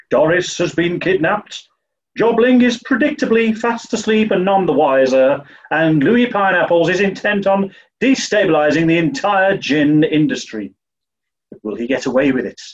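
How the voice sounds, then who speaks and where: A man playing a theatrical announcer narrating a 1940s-style radio serial with suspense. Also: sits high. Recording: dull, distant microphone.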